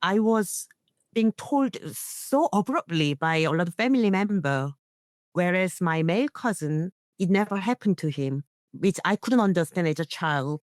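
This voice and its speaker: A woman's voice: on the low side, expressive, moderate pace, dry recording. A middle-aged woman, an English speaker, giving an earnest personal account as an interview guest. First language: not English. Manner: earnest, non-native phrasing. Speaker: guest, internationally trained nurse and leader.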